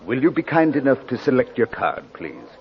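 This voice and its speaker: An actor playing a suave, courteous master gambler dealing cards in a 1940s radio drama, polite and measured. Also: male voice, mid-range voice, wildly swooping pitch. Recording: dull 1940s radio recording, crowd murmur behind.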